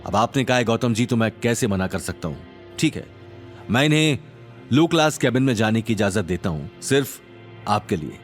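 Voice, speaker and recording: A man's voice: lowish voice, very animated, normal speed, soft background music. Formal, authoritative train captain, voiced by a man. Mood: deferential. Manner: formal, authoritative, English phrases mixed in. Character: proud, indignant male train captain, stern but deferential.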